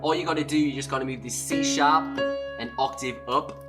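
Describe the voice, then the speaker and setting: Hoarse British man teaching a song. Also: on the high side, brisk, loud music behind the voice. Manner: relaxed and chatty, explains step by step. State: hoarse, lost voice. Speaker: music teacher. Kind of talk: instrument lesson.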